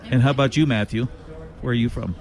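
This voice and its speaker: A man's voice: medium pitch, fast talker, crowd noise. A man from Chicago hosting a casual live radio interview outdoors, asking easygoing, chatty questions.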